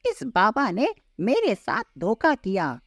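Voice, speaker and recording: A woman's voice: fairly high pitch, wildly swooping pitch, slow pace, no room sound, clean studio sound, exaggerated voice. A woman voicing an anxious, gullible, easily offended female cow in a children's cartoon story, with a dramatic, complaining manner. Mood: angry.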